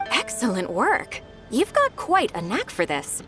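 A woman's voice: fairly high pitch, wildly swooping pitch, unhurried, sharp tone, soft background music, exaggerated voice. A woman voicing a polite, efficient young secretary in a game, courteous and encouraging. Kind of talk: game dialogue.

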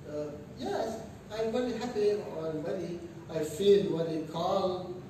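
A man's voice: sits high, takes it slowly, warm tone, a little hiss or hum. Earnest foreign-man, echoey lobby. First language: Persian. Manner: hesitant, many fillers, earnest. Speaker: international student. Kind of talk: interview answers. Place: seated in a library lobby, indoors. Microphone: far camera. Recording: echoey room, far from mic.

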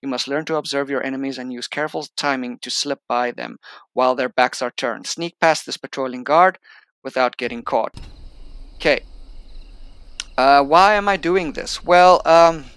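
A man's voice: medium pitch, moderate pace, long pauses, a bit bright, clean tone. A young man who is not a native English speaker, reading tutorial text aloud and chatting casually while playing a game. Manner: reads on-screen tutorial text aloud, then chats. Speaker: gameplay streamer. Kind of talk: casual let's-play commentary.

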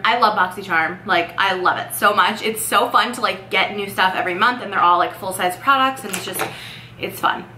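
Young woman chatting away doing makeup, bright tone, kinda echoey room. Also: medium pitch. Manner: rambling, filler-heavy, upbeat chatter while doing makeup. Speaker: vlogger. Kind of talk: casual chatty vlog. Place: bedroom, indoors. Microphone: camera mic at desk distance. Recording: echoey room with faint noise.

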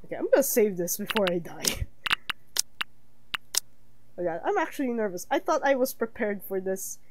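A young woman streamer chats casually through a horror game at a medium pitch. The recording is a little noisy, with faint ambient hiss behind her. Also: big pitch swings, moderate pace, a little mellow. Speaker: streamer playing a horror visual novel. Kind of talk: casual game commentary and reading aloud.

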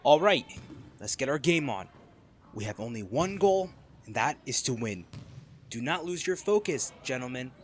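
A man's voice: mid-range voice, animated, normal speed, slight background noise, lightly acted. A man playing a cocky college volleyball captain. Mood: rallying. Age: young adult. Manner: laid-back, laughs often, swears casually. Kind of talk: audio roleplay to a listener.

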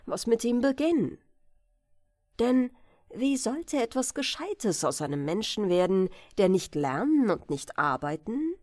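A woman narrating a fairy-tale audiobook for bedtime with expressive, deliberate phrasing. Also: mid-range voice, no echo, clean studio sound.

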